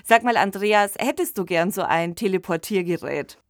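A woman's voice: medium pitch, expressive, normal speed, no echo, studio quality. A woman hosting a podcast, presenting a scripted summary and addressing her co-host.

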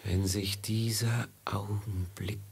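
An actor playing a resigned, brooding doomed man in a German radio play, speaking in a halting, pensive way. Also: on the low side, fairly even intonation, vocal fry, breathy edge, heavily acted. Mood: brooding, regretful.

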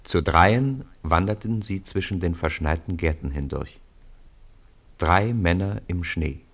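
A man narrating a radio play as a calm storyteller, in a measured, literary manner. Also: deep voice, dry recording, exaggerated voice. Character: calm male storyteller of a school tale.

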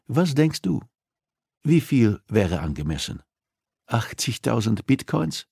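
A man's voice: medium pitch, sing-song, slow, creaky, dark tone, slightly rough, no room sound, studio quality, voice pushed up, full character voice. A man narrating an audiobook, voicing a coolly persuasive, threatening female criminal who interrogates with insistence and condescension. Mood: probing, smug.

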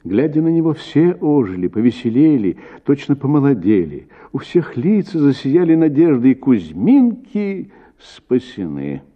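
A man reading a literary short story as an actor, with expressive, theatrical phrasing. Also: medium pitch, measured pace, phone-quality sound. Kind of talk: expressive literary audiobook narration.

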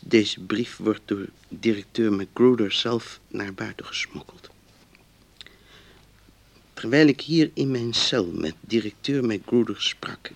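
An actor playing a trusting, hopeful condemned prisoner who reads out his letter in a measured, earnest voice for a radio drama. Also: fairly low pitch, vocal fry.

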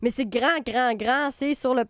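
A Québécoise woman hosts a radio show in a loose, rambling way, full of fillers and self-corrections. Her voice sits a little high and sounds smooth and clean. The studio sound is dry and close. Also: unhurried, dry recording. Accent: Québécois French. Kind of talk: casual spoken review.

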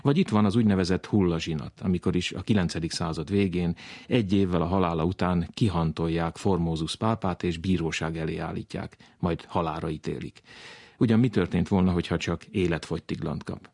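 A man with a husky voice, presenting a radio programme from a script with touches of humour. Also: low-pitched, darkish tone, no room sound, studio quality. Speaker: radio host. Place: radio studio. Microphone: close studio microphone.

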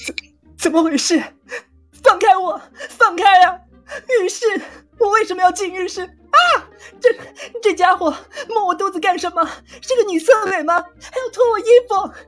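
A woman's voice: extremely high pitch, moderate pace, faint music behind, voice pushed up. A woman voicing a flustered, indignant little game character in an audio drama, exclaiming and muttering complaints. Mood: panicked, indignant.

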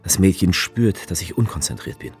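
An actor playing a brooding, sardonic author who narrates a radio play in the first person. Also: male voice, sits low, normal speed, a little mellow. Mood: sensual, absorbed. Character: brooding male narrator-author, sensual, darkly sardonic witness.

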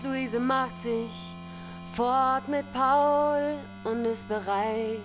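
A very slow rhyming narrator. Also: female voice, fairly high pitch. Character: female narrator chanting wry rhyming verse. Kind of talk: rhymed radio drama narration with music. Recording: music and hum behind voice.

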